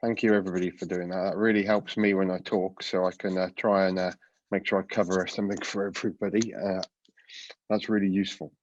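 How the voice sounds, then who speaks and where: A man with a cold, sounding congested, presenting a product webinar with a relaxed manner and many fillers. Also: deep voice, fast, dry recording, dull audio. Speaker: product coach giving a webinar talk. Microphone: video call.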